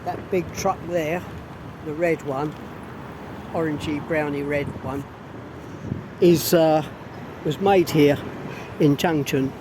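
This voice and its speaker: A hoarse man ambles through an outdoor city street, talking slowly and unhurriedly to a handheld camera. His voice sits a bit higher than most men's. Clear traffic noise rolls along behind him. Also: fairly even intonation. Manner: rambling, unhurried, pauses and restarts. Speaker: vlogger. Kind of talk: casual walking vlog.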